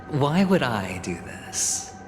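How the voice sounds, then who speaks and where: An actor playing a weary, smooth-talking young gambler in a video game, with a wry, theatrical delivery. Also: male voice, mid-range voice. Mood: guarded, amused. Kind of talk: dramatic video game voice acting. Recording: long cavernous reverb, background music.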